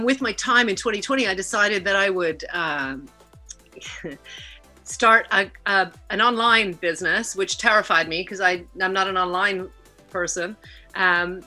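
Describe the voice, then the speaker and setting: A middle-aged woman from Canada, a guest chatting casually on a video call podcast in a lively, animated way. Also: mid-range voice. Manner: lively, laughing, animated storyteller. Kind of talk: casual interview chat.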